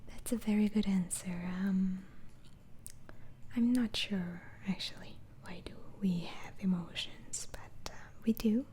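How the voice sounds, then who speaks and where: A woman playing a gentle, inquisitive doctor in an ASMR roleplay, speaking in a soft breathy whisper with halting, murmured questions. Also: mid-range voice, natural voice. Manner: halting, hesitant, murmuring acknowledgements. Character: gentle, inquisitive female doctor interviewing a mental patient. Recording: faint background noise.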